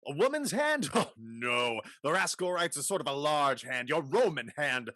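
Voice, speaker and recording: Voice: medium pitch, big pitch swings, moderate pace, fairly bright tone, dry recording, studio quality. An actor playing a swaggering, declamatory male suitor, a blustering knight in a Restoration comedy. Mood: indignant. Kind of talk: theatrical Restoration comedy reading.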